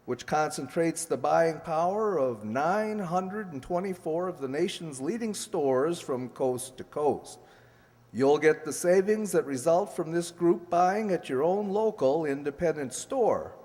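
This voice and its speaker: A man playing a 1940s radio sponsor announcer, delivering an emphatic, measured sales pitch. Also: a little mellow. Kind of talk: 1940s radio commercial reading. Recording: echoey stage hall.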